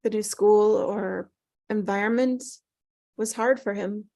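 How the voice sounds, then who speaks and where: A woman playing a grieving older mother in a drama table read, earnest and compassionate, speaking haltingly as she searches for words. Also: mid-range voice, steady tone, warm tone, no room sound. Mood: wistful.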